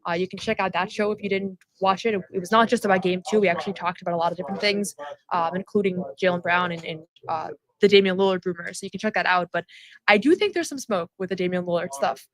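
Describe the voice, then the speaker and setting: A woman's voice: fairly low pitch, fast, rough recording. A woman hosting a casual postgame show, chatty and rambling with frequent fillers. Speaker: host. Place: arena concourse at a summer league event.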